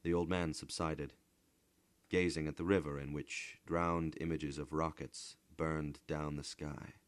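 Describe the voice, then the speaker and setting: A man reads a literary story in a low voice. His pace is slow and measured, with a deliberate dramatic shape. The sound is close and dry. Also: little pitch movement, no room sound. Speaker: narrator, voice actor.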